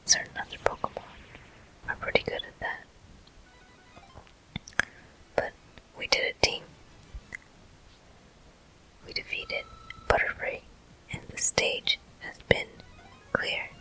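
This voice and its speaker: A person whispering ASMR game commentary in a deliberate manner, pausing between short phrases. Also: sharp tone, rough recording. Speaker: gameplay host.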